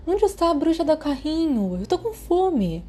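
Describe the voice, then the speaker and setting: A woman's voice: high voice, sing-song, normal speed, dark tone, voice pushed up. A woman voicing a nervous, hungry teenage schoolboy with a whining, pleading manner in an audiobook story. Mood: whiny, hungry.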